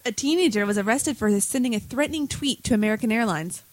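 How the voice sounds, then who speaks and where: A woman's voice: on the high side, fast talker, no room sound. A woman co-hosting a talk show, going through news stories in an informal, wry, chatty way. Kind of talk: casual chat about news stories.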